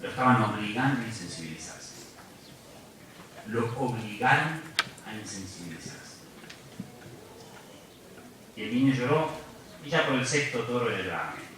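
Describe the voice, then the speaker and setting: A man gives a reflective, earnest lecture, circling back over his own phrases. His voice is bright and forceful. He sounds distant from the mic in a hugely echoing hall with faint noise behind. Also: lowish voice, expressive, normal speed, long pauses. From Argentina. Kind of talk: public lecture. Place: large university lecture hall.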